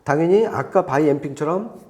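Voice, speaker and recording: Guy with kinda high but really mellow voice, explaining hifi stuff step by step, chatty teacher type, repeats himself. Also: animated, fairly quick. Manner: didactic, explains step by step with repetition. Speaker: hi-fi expert presenter explaining audio setups. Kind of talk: conversational explainer.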